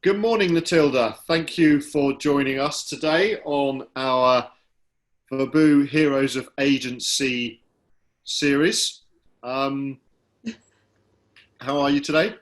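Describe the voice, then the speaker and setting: A man's voice: mid-range voice, slow pace, long pauses. Friendly casual male business host. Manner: friendly, loose, lots of ums and trailing phrases. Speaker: host from the company.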